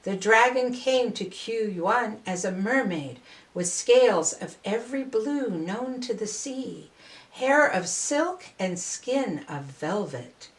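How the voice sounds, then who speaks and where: An older woman reading a storybook aloud as a storyteller, with measured, deliberate phrasing. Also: a little high, expressive, distant mic. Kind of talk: scripted storybook narration. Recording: roomy, echoing voice recording.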